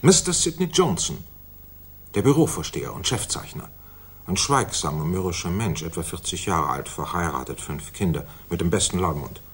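An actor in a 1960s German radio drama playing a self-satisfied, authoritative senior government official who speaks formally and deliberately. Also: male voice, deep voice, expressive, fairly bright tone, slightly rough, exaggerated voice. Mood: matter-of-fact.

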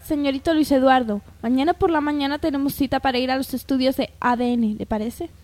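A woman's voice: high-pitched, fast, noisy background, lightly acted. A woman playing a polite, proud young woman in an amateur radio soap opera, earnest and deferential.

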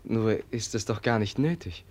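An actor in a 1950s radio play playing a gentle, guileless young prince who speaks softly and hesitantly, often close to a whisper. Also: male voice, fairly low pitch, expressive, normal speed, close to an everyday voice. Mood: hesitant, sincere. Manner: soft-spoken, hesitant, often near a whisper.

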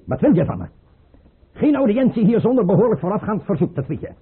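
Husky, erratic tyrant tsar. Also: male voice, high-pitched, big pitch swings, fast, full character voice. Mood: scornful, menacing. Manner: imperious, abrupt, mocking, swinging from mutter to outburst. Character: paranoid tyrant tsar, erratic, scornful, suspicious father. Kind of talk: radio play acting. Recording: muffled, tinny old radio play recording.

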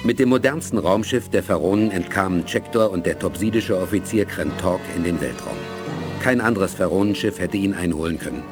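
Low-voiced man narrating with music. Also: fairly quick. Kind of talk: dramatic scripted narration, 1980s radio play.

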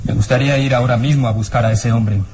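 A man's voice: mid-range voice, fairly even intonation, quick pace, darkish tone, close to an everyday voice. A man playing a firm, impatient police inspector in a radio serial, formal and decisive, on an old recording. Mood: resolute.

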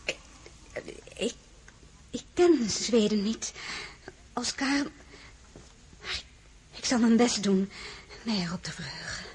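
High-voiced, hesitant young crown princess. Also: female voice, expressive, a little mellow, husky, heavily acted. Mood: flustered, hesitant. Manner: halting, repeating words when flustered. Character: young new crown princess of Sweden, hesitant, modest, stubborn. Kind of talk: Dutch audio drama acting with narration.

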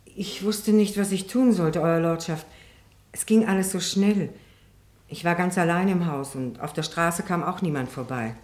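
Actress as anxious female witness, very low voice, hesitant and deferential, radio courtroom drama. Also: moderate pace, darkish tone, lightly acted. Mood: flustered, defensive. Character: timid, anxious woman witness testifying in court.

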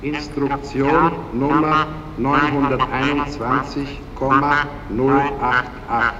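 An actor playing a cold, bureaucratic legal computer in a science fiction radio drama, reciting formulas in a halting monotone. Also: male voice, medium pitch. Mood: impassive, official. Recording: dull, reverberant hall, faint noise.

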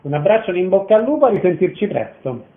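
A man's voice: sits high, big pitch swings, fast talker, vocal fry. A man presenting and asking questions as a radio interview host. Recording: narrow-band, echoey radio sound.

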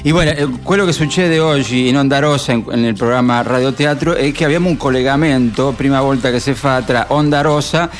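A man's voice: medium pitch, fairly quick, hardly any pauses. A man from Argentina with an Argentine Spanish accent, hosting radio informally and searching for his words as he introduces a programme. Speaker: radio host. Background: music playing under the voice.

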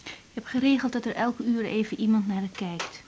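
An actress playing a young doctor, tender and troubled, in an intimate, hesitant radio drama style. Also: female voice, on the high side, normal speed, mellow, slight background noise, close to an everyday voice, poor audio. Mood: melancholy. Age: young adult. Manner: intimate, hesitant, sighing delivery. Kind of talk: naturalistic radio drama acting.

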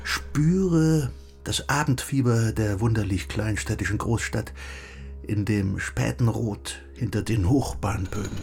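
An actor playing a middle-aged, bookish recluse in a radio play, speaking in a reflective, lyrical and measured way. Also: male voice, on the low side, creaky, a little mellow, soft background music. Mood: musing, lyrical. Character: wistful, gently philosophizing bookish recluse husband. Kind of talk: German radio play acting.

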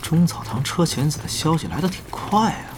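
A man's voice: a little high, animated, brisk, background noise, natural voice. A young man playing a brash, wily gamer guild leader bantering with rivals in an audio drama. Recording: music under the dialogue.

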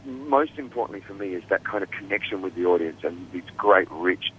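A man's voice: deep voice, expressive, brisk, highs cut off, a little hiss or hum. A man with an Australian accent, a guest producer talking casually in an interview, rambling with many restarts and fillers.

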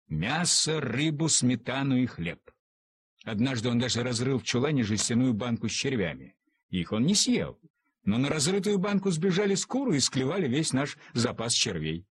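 A man reading a humorous story as an expressive, theatrical narrator who draws out words for emphasis in a husky voice. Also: mid-range voice, no echo, clean studio sound.

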